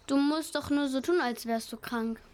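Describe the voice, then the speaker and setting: Eager know-it-all young sibling female performer, very high voice, blunt delivery, children's audio drama. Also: moderate pace, darkish tone, close to an everyday voice. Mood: cheeky, plotting. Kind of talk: children's radio play acting.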